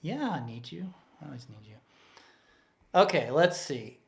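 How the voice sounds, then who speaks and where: A man's voice: a little high, very animated, measured pace, creaky. Chatty man in an echoey room. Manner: rambling and chatty, many fillers and restarts. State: voice getting tired. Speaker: co-host of a livestream Q&A. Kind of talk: casual livestream Q&A. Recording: roomy echo.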